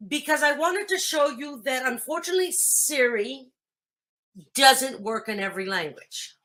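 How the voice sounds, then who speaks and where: A woman's voice: high voice, moderate pace, sharp tone. A woman whose first language is Greek, hosting a casual computer tutorial and chatting through each step as she thinks aloud. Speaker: host.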